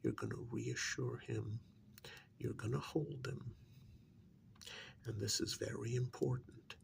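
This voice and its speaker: A man playing a stern, exasperated handler of a covert operation in a soft-spoken ASMR role-play, speaking in a deliberate, halting, gravelly half-whisper with menacing emphasis. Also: medium pitch, fairly even intonation, slight background noise. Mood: stern instructing.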